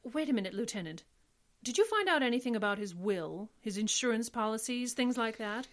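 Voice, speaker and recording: Firm, high-voiced woman lawyer, played by an actress. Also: animated, fairly quick, close to an everyday voice. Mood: firm, insistent. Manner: firm, insistent. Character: determined woman defense attorney, loyal to her client. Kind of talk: old-time radio drama acting.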